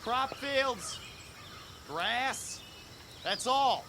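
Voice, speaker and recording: A man's voice: very high voice, animated, drawn-out words, background noise, full character voice. A man playing a loyal, anxious young soldier under fire in a radio drama. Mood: wary. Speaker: actor.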